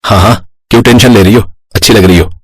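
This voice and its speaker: A man plays a doted-on young brother in a story cartoon. His voice is low and husky, recorded dry, and he talks in an earnest, casual, affectionate way. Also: fast, no room sound.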